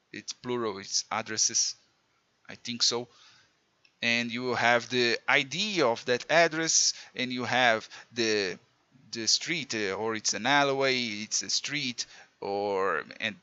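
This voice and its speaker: A man's voice: mid-range voice, pushed and bright, no room sound, lo-fi sound. A man with a Portuguese accent teaching a casual programming lesson, chatty and self-mocking, with slips in his English. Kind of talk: casual screen-recorded coding lesson.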